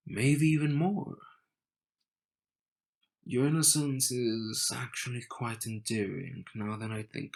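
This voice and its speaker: Slow-talking, teasing young groom, played by a man. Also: medium pitch, fairly bright tone. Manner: smug, teasing, unhurried delivery with dramatic pauses. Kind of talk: romantic audio roleplay addressed to the listener.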